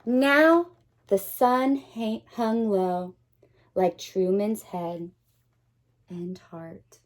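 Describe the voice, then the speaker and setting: A woman teacher reading a picture book aloud to young children, expressive and dramatic, pausing to put questions to her listeners. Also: mid-range voice. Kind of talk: animated children's read-aloud.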